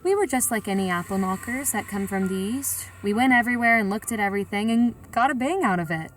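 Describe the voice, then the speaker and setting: A woman's voice: medium pitch, quick pace, a bit bright, far from the mic, faint music behind, close to an everyday voice. A woman playing a plain-spoken, reflective sightseer who tells her story in a colloquial, confiding way. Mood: musing, confiding. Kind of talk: first-person audio drama narration.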